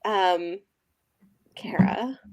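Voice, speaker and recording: A woman hosting a casual book discussion over a video call, lively, calling on others and nodding along. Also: medium pitch, very slow, no echo, poor audio. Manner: lively, moderating, frequent backchannels. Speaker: book club host.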